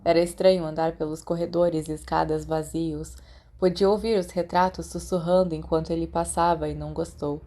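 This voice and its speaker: A Brazilian woman narrates a story from a script. Her voice sits a little lower than most women's. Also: flat delivery, a little fast, warm tone. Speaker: podfic narrator.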